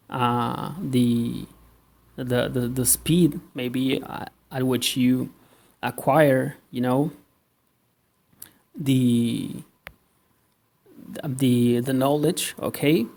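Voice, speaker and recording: A man from Argentina with an Argentine Spanish accent, a native Spanish speaker, introducing his online language lessons with many pauses and a habit of checking in with "okay?". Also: medium pitch, fairly even intonation, vocal fry, a little mellow. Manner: halting, many fillers and restarts, tag "okay?". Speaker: language teacher.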